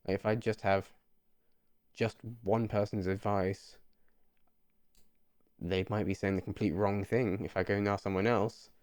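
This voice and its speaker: A young man in his twenties speaks earnestly to the camera, wandering and repeating himself as he thinks aloud. His voice sits a little low, and the sound is dry and close with no room echo. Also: little pitch movement, a little slow, long pauses, darkish tone, smooth. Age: 20s. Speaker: vlogger. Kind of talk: casual reflective monologue to camera.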